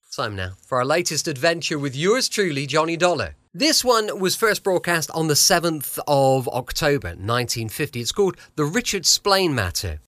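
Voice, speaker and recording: A middle-aged British man hosts a podcast in a dry, close recording. His pitch moves up and down a great deal as he chats casually and a little reflectively. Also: very animated, normal speed, hardly any pauses, a bit bright, dry recording. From England. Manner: chatty, reflective. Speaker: podcast host. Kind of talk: casual, chatty show introduction.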